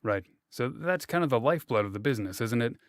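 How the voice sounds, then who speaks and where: A man's voice: mid-range voice, expressive, fast, no room sound. Rambling male interviewer, dry close sound. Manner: rambling, hesitant, full of fillers and restarts. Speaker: interviewer, host. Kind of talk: casual interview chat.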